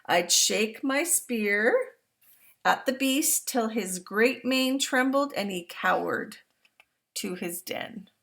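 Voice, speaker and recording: Protective storybook father with warm reassuring promises, unhurried, read by a grandmother. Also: fairly high pitch, animated, vocal fry, exaggerated voice. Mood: tender. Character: loving Maasai father, steady and protective. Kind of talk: read-aloud picture book.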